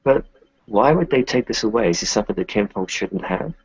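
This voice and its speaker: A man playing a blunt, wary, sardonic fighter in a tabletop game, with a gravelly, husky voice. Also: on the low side, animated, fairly quick, dry recording, voice pushed up. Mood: cautioning. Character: wary, blunt, envious kinfolk man, cursing. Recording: muffled, dry close recording.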